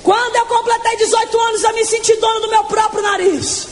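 A woman in her twenties preaching her testimony in a fervent, shouting evangelical sermon, stretching words for emphasis. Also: very high voice, little pitch movement, fairly quick, faint music behind. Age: 20s.

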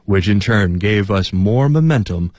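Low-voiced man reading a translated voice-over. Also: expressive, unhurried, noisy background. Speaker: drum troupe member, dubbed English voice-over.

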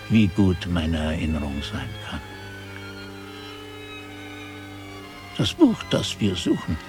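An old monk poring over his chronicle in a radio drama. His deep voice moves restlessly, halting in self-talk, then rising dramatically. He sounds forgetful and troubled by memory. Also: gravelly, heavily acted. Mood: musing, troubled. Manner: halting self-talk, swinging from muttering to exclaiming. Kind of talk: dramatic radio play narration.

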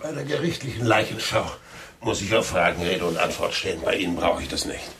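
An actor playing a world-weary, wry private detective in a noir radio play, with a laconic, dry, gravelly delivery. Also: male voice, sits low, expressive, brisk, fairly bright tone, a little hiss or hum. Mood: defiant. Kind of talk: hardboiled noir radio drama acting and narration. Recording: studio radio play with music underneath.

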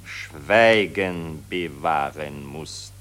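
An actor playing a pompous, bullying newspaper editor with a Viennese accent in a satirical radio play. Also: male voice, rumbling low, fairly bright tone, slight background noise, full character voice. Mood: pompous dictation. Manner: pompous, dictating slowly, then pressing.

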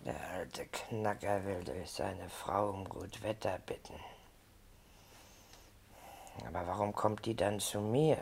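Scornful lady of the house for radio play. Fry-laden, unhurried, bored and irritable. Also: deep voice, darkish tone, slight background noise, full character voice. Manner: languid, disdainful. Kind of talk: radio drama acting.

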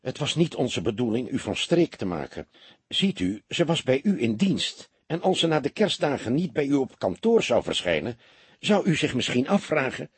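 A man's voice: medium pitch, big pitch swings, normal speed, breathy edge, dry recording, voice pushed up, full character voice. A man voicing a weary police detective who talks in a deferential, understated and hesitant way, as in an audiobook. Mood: meek, apologetic. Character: world-weary police detective, feigning meek, sheepish humility. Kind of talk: audiobook character voice.

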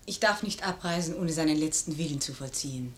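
An actress playing a dignified, grieving officer's widow, formal and solemn, in an old German radio play. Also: female voice, low-pitched, fairly quick, natural voice. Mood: sorrowful, dignified. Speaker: radio drama actor. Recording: noisy old radio recording.

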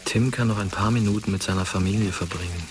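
A man playing a detached, brooding narrator in a radio drama, speaking in a quiet, terse, measured way. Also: deep voice, fairly even intonation, close to an everyday voice. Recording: music under the voice.

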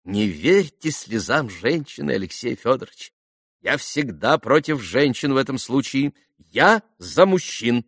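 A man voicing a gushing, excitable society lady, a fussing mother who talks in effusive, melodramatic repetitions, in an audiobook novel. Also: mid-range voice, a little slow, dry recording, studio quality, voice pushed up. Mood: brisk, confiding. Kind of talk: audiobook classic novel dialogue.

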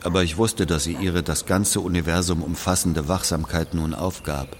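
A man playing an aging Algerian who confesses an old killing in a brooding, deliberate literary monologue. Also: deep voice, fairly even intonation, a little hiss or hum, natural voice. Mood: grave, confessing. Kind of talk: literary monologue, dramatic audio narration.

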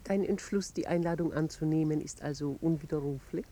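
A woman's voice: deep voice, fairly quick, dark tone. A woman playing a composed noblewoman with dry, needling irony in a 1950s German radio play. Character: cool, sardonic noblewoman, drily needling her husband. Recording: 1950s radio recording, faint noise.

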